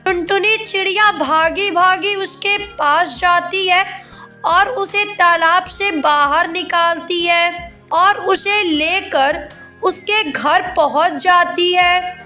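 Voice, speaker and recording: A woman's voice: extremely high pitch, slow, bright, forceful tone, faint music behind. A woman narrating a scripted children's cartoon story.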